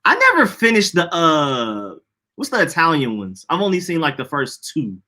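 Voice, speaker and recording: Young male co-host, bright tone, a bit high, animated and jokey. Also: moderate pace. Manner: laughs often, animated and joking. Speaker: podcast co-host. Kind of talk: casual chat.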